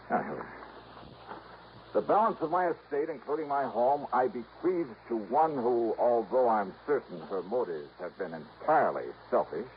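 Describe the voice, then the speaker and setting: An actor playing a wry, relentless insurance investigator in a 1950s radio detective drama, brisk and pointed. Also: male voice, on the low side, natural voice. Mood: reading aloud, pointed. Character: hard-boiled male freelance insurance investigator, wry, relentless. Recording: dull, noisy old radio recording.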